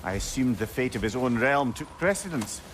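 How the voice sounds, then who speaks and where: An actor playing a learned, wry guide in a video game, eloquent. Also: male voice, medium pitch, animated, a little fast, very noisy, natural voice. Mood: wry, informative. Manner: eloquent, lore-telling. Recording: music under the voice.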